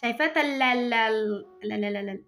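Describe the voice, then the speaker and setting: A young woman with an Iraqi Arabic accent talks fast and chatty to her viewers as she cleans her kitchen. Her voice sits high, and soft music plays faintly behind her. The camera sits on the counter a little away from her. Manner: chatty, talking to viewers while doing housework. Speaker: vlogger. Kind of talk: casual chat while cleaning. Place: home kitchen, indoors.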